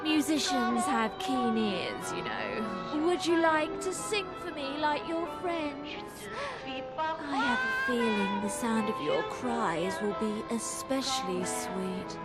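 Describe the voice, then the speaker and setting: A woman's voice: on the high side, very animated, slow pace. A woman playing a deranged, vengeful female musician in a video game, theatrical and sadistic, savoring her words. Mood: menacing sweetness. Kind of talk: video game villain acting. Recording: loud music over the voice.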